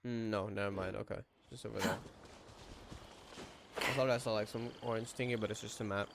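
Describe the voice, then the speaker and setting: A man casually reacting and thinking aloud while playing a game, relaxed and chatty. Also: lowish voice, fairly quick, gravelly, noisy background. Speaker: gaming streamer. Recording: voice over game music.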